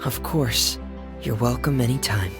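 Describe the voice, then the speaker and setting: A man playing an earnest, loyal and reflective young man in a video game, speaking in a measured, sincere way. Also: mid-range voice, over music, lightly acted. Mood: grateful, resolute.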